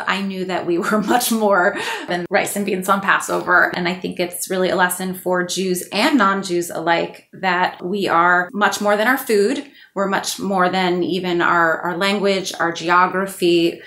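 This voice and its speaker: Slightly low-voiced woman, roomy echo. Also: fairly bright tone. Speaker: guest author and singer. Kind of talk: conversational interview answers. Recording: roomy, echoing podcast audio.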